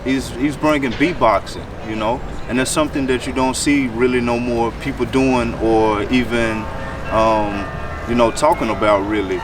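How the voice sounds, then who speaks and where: A man's voice: mid-range voice, steady tone, moderate pace, music in the background. Laid-back man hosting outdoors. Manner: laid-back hip hop slang, lots of fillers. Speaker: host. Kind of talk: street interview. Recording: distant mic, street noise.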